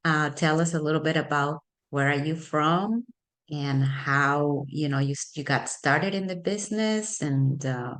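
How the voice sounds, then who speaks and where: A woman's voice: deep voice, measured pace. A woman hosting an interview show, introducing her guest and asking questions in a friendly, chatty way with frequent uhs and ums. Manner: friendly, chatty, hesitant fillers. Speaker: podcast host, real estate broker.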